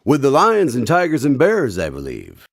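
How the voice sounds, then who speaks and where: A man's voice: mid-range voice, wildly swooping pitch, normal speed, creaky, dry recording, studio quality. A man hosting a radio drama in a scripted style, chatting about the story and reading the credits. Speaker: radio drama host and announcer. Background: music under the voice.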